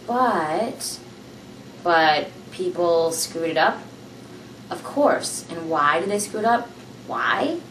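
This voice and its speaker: Young woman reading a novel aloud, roomy. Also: medium pitch. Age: young adult. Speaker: amateur reader. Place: small room indoors, seated on a couch. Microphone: camera mic from across the room. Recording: roomy, distant camera audio with faint hiss.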